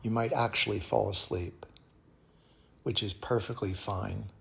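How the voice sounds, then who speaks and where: Low-voiced man guiding a meditation. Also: gravelly. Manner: calm, soothing, measured phrasing with pauses. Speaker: yoga teacher guiding meditation. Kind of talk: guided meditation.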